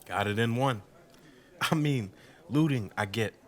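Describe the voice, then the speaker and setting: A man's voice: mid-range voice, a little slow, natural voice. An actor playing a wry, self-deprecating husband being interviewed in a radio drama, telling his story in a sardonic, conversational way. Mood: scornful. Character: wry, indignant husband recounting blackout night, interviewee.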